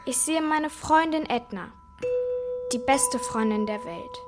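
Young girl, high voice, blunt, confident, loyal know-it-all best friend for a children's radio play. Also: slow, over loud music. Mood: reassuring. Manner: blunt, matter-of-fact, confident.

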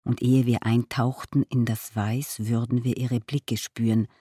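A man reciting literary prose for a radio sound piece, solemn and measured, in short phrases. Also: medium pitch, flat delivery, mellow, slightly rough, no room sound, studio quality, distant mic. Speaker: reader of poetic prose. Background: music.

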